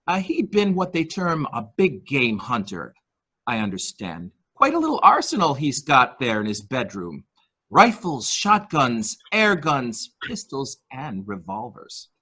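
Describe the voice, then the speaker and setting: Man acting a primly servile manservant, lively hesitant delivery. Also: medium pitch, a little slow, breathy edge, full character voice. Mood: deferential, careful. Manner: hesitant, obsequious, formal. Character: deferential male nurse-attendant to a rich invalid, primly servile. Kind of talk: dramatic reading of a murder mystery.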